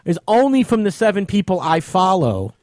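A man's voice: high voice, very animated, moderate pace, darkish tone, no echo. A man hosting a radio show as a comic, explaining things insistently in casual chat.

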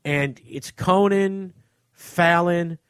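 High-pitched man in casual, opinionated podcast chat. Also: extremely slow, fairly bright tone, smooth, no room sound, clean studio sound. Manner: emphatic, frequently profane. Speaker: podcast co-host reviewing a book.

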